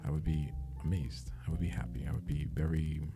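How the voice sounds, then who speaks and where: The rock-bottom, raspy voice of a guy rambling on a late-night art stream, hesitant and self-mocking, with guitar music loud behind him. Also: extremely low pitch, flat delivery, a little fast. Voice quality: slightly raspy, breathy murmur. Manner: rambling, hesitant, self-deprecating, casually profane. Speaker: livestreamer, animator. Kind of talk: casual livestream chat while working. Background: plucked guitar music.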